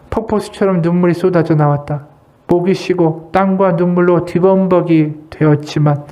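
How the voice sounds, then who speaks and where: High-voiced man preaching earnestly. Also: dark tone. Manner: earnest, exhorting, measured phrasing in short pieces. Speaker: associate pastor preaching. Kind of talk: Sunday sermon with read-aloud testimony and prayer.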